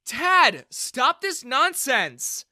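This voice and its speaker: A narrator voicing a fiery, protective young woman with a raised, bright voice. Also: sits high, very animated, extremely slow, no room sound, studio quality, pitched above the performer's own voice, heavily acted. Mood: angry. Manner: indignant, earnest.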